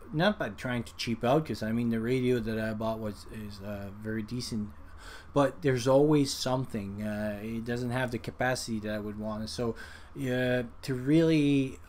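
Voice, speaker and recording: A man's voice: medium pitch, a little mellow. Guy chatting casually, lots of hesitating and rambling, some noise behind him. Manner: halting, rambling, with frequent hesitations. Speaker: hobbyist video presenter. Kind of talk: casual unscripted explanation.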